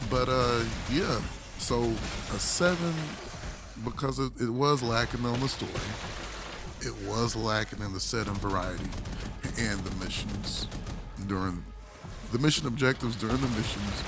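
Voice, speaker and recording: A man with a hoarse voice, recovering from illness, giving a casual, rambling game review full of restarts and laid-back slang. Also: medium pitch.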